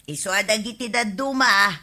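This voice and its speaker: A woman's voice: medium pitch, a little fast, bright, forceful tone. A woman playing a complaining, haggling village woman in a radio comedy-drama, with an Ilocano accent.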